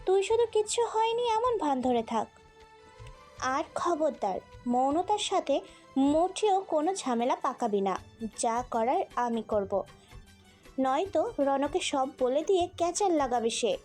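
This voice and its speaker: Lady acting a bossy jealous auntie, scheming, really high voice, melodramatic, music behind. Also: big pitch swings, a little slow, darkish tone. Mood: scolding, scheming. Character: jealous, scheming middle-aged aunt, bossy mother. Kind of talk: melodramatic audio story acting.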